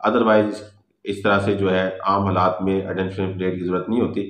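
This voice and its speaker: Echoey, even-toned Pakistani man lecturing. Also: low-pitched, fairly quick. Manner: didactic, switches between English phrases and explanations. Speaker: law lecturer. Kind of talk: explanatory lecture mixing read-out points and commentary. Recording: echoey room.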